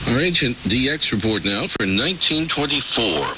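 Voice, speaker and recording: A man's voice: medium pitch, expressive, normal speed. A man hosting a radio programme with scripted announcements and narration, heard over a shortwave broadcast. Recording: noisy shortwave radio reception.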